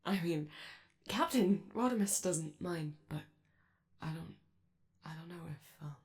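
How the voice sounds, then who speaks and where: A woman playing a friendly, awkward young male robot in a soft ASMR roleplay, with a creaky voice and a hesitant, rambling, apologetic delivery. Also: fairly low pitch, lively intonation, a little slow.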